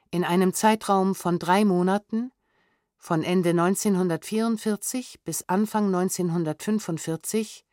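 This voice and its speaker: A woman reading historical testimony as scripted audiobook narration in a measured, sober, matter-of-fact way. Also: lowish voice, a little mellow, dry recording, studio quality. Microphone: close studio microphone.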